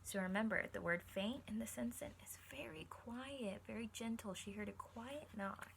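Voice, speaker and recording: A woman teacher reading a picture book to children, encouraging them and pausing to explain things simply and ask questions. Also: mid-range voice, vocal fry, noisy background. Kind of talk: read-aloud lesson with questions to young listeners.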